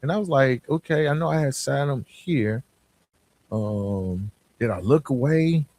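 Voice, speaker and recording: A man's voice: mid-range voice, a little slow, a little mellow. A man hosting a podcast, talking casually and motivationally in a colloquial, streetwise way with slang and personal storytelling. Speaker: podcast host.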